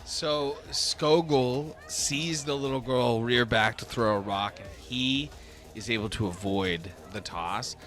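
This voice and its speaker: A man's voice: fairly low pitch, bright, forceful tone. A man narrating a tabletop fantasy game as game master, in a casual manner. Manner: casual, swearing.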